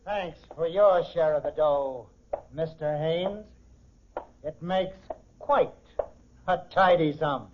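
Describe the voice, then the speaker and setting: Surly criminal sidekick, slightly high voice, slangy and excitable, dull 1940s radio sound. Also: male voice, slow, pauses often, slight background noise. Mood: bitter, gloating. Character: resentful criminal sidekick getaway driver, wary and surly. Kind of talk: 1940s radio crime drama acting.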